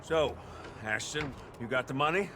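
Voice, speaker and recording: An actor playing a cantankerous, haughty old American father with a gravelly voice in a game cutscene. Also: mid-range voice, animated, normal speed, over music, close to an everyday voice. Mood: guarded. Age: older. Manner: blustering, haughty. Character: cantankerous, pompous, indignant old father fallen on hard times. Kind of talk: theatrical game cutscene acting. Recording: clearly noisy street ambience.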